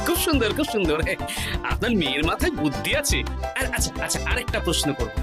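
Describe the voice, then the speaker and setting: Woman doing clever polite jester cartoon voice, bouncy intonation, loud music behind. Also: mid-range voice, sing-song, fast talker, natural voice. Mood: playful, quizzing. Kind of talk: cartoon voice acting.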